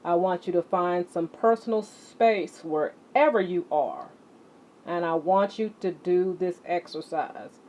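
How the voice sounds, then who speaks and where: A woman teaching children as an exercise leader, speaking deliberately and emphatically, addressing her students directly. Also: lowish voice, mellow. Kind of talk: instructional lesson to children, read-along with warm-up exercises. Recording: muffled audio with clear background noise.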